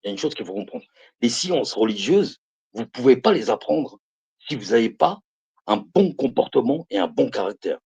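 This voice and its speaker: A man in his fifties speaks with a husky voice, and his pitch rises and falls widely. He preaches to his viewers on a live stream, stressing his points and repeating phrases as he calls them brothers and sisters. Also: big pitch swings, moderate pace, darkish tone, highs cut off. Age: 50s. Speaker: live streamer giving religious advice.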